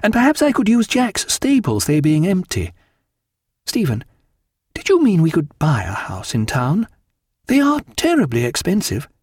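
A man's voice: high voice, huge pitch swings, normal speed, darkish tone, slightly rough, no echo, clean studio sound, pitched above the performer's own voice, exaggerated voice. A man voicing a vivacious, elegant upper-class wife with an exclamatory, refined period manner in an audiobook. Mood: eager, affectionate.

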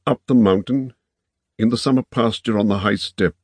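A man's voice: on the low side, moderate pace, husky, no room sound, voice pushed up. A village master in an audiobook tale, voiced by a man. He pronounces with firm authority, in a dry, roomless sound. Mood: commanding.

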